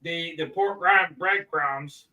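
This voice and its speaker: A man's voice: on the high side, takes it slowly, bright, forceful tone, low-quality recording. A man co-hosting a casual chat who jokes and tells short anecdotes. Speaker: co-host.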